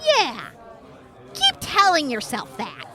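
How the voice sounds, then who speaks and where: A woman's voice: high-pitched, huge pitch swings, takes it slowly, heavy background noise, exaggerated voice. A woman playing an eager young vault medic who teases her crewmates and gushes about medicine, in a fan audio drama. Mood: teasing. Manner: eager, teasing, gushing.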